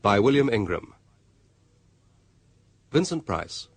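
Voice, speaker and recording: A man's voice: fairly low pitch, sing-song, slow pace, breathy edge. A man introducing a radio drama and its cast in a scripted announcement. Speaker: opening announcer.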